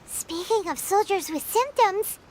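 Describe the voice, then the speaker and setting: A woman voicing a tiny, excitable, childlike fairy companion in an anime-style video game, with a squeaky voice. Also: a little slow, a little hiss or hum, poor audio. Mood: alarmed.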